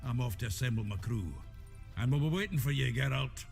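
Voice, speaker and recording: An actor playing a gruff, jovial gang leader in a video game, with a folksy, rough-edged way of talking. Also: male voice, medium pitch, animated, a little fast. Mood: brisk, plotting. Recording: music behind the voice.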